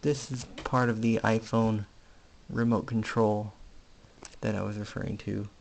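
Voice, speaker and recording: A man giving a casual hands-on electronics demonstration, speaking haltingly in short piecemeal phrases. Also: lowish voice, little pitch movement, vocal fry, a little mellow, low-quality recording. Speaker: hobbyist presenter. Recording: faint background noise with clicks.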